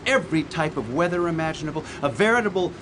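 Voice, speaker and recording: A man's voice: on the high side, animated, quick pace, background noise, close to an everyday voice. An actor playing a middle-aged, grandiose inventor father who is blunt and condescending, in a comic radio theatre style. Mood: dreamy, enthusiastic. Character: pompous, teasing, visionary inventor father.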